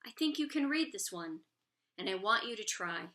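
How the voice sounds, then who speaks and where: A woman's voice: medium pitch, sing-song, moderate pace, pushed and bright. A woman reading a children's novel aloud expressively as a storyteller. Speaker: book reader.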